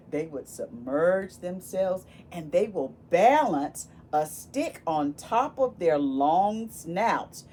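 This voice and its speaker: A woman reading a picture book aloud to children in an expressive, exclaiming way, speaking directly to her young listeners with questions and asides. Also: lowish voice. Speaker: volunteer children's book reader. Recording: steady background noise.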